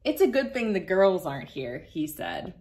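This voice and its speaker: A woman in her thirties reading a picture book aloud to children, gentle and playful, laughing now and then, recorded on a phone camera indoors. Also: lowish voice, sing-song, normal speed. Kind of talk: read-aloud storytime for kids. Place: bright room indoors.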